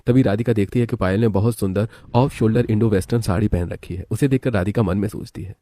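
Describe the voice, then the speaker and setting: A man's voice: on the low side, quick pace, mellow. A man reading scripted narration for a story.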